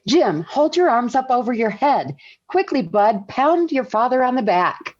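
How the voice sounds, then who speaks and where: Unhurried American radio mother. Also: fairly high pitch, very animated, darkish tone, slight background noise. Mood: urgent, scolding. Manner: measured, motherly. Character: gentle, sensible, concerned suburban wife and mother. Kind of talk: 1940s radio sitcom acting. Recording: dull vintage 1940s radio recording.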